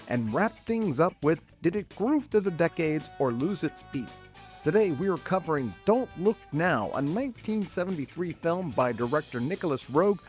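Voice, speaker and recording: A man's voice: fairly high pitch, darkish tone. A man hosting a film podcast, reading an upbeat scripted intro, then chatting casually with his co-host. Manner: upbeat, reads from notes.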